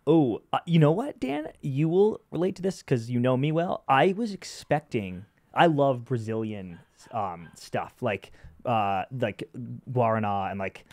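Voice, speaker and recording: A young man co-hosting a hockey podcast, chatting in a loose, joking, rambling way on a studio boom mic. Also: expressive, measured pace, a little mellow, no room sound. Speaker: podcast co-host. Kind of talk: casual podcast chat. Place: studio with wood walls. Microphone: boom-arm podcast mic.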